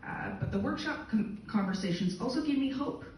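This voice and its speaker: A woman reading a prepared conference talk in a measured, formal way. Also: a little high, animated, darkish tone. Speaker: conference speaker. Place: conference hall. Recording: very echoey hall from far off.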